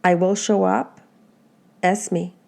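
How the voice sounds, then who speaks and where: A woman with a Polish accent gives a motivational talk as a life coach, in a mellow, darkish voice with a gravelly edge. She speaks slowly and earnestly. Her talk moves through one reflective question after another. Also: on the low side, level tone. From Poland. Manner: asks many reflective questions, slow and earnest.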